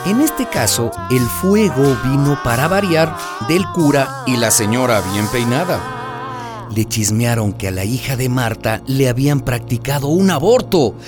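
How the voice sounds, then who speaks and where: A performer playing a gossipy, amused neighborhood storyteller in a radio drama, narrating in a wry, colloquial, confiding way. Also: mid-range voice.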